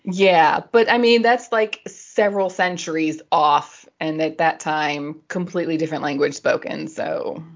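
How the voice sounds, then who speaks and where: A slightly muffled recording of a woman with a lowish voice, hosting a casual talk show. She explains things in a nerdy, digressive way with a giggly streak. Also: steady tone, unhurried, hardly any pauses. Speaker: podcast host explaining language history.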